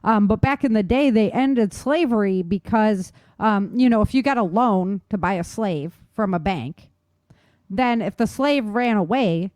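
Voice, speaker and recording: A woman's voice: medium pitch, measured pace, a little mellow, no echo. A woman with a mid-range voice chats informally as the co-host of a talk show. Her delivery is casual and conversational. The recording is dry, with very little room sound. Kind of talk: casual chat.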